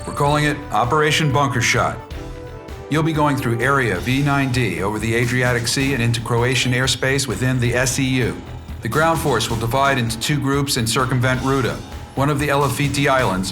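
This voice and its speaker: An actor playing a resolute military commander who delivers a measured, authoritative mission briefing in a video game. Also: male voice, mid-range voice, close to an everyday voice.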